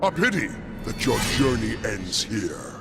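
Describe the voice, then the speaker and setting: An actor playing a haughty undead lord in a dark fantasy video game, gravelly and imperious in a theatrical way. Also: male voice, on the low side, wildly swooping pitch, unhurried, heavily acted. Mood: grave, regretful. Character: haughty undead lord serving a bone king. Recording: loud music underneath.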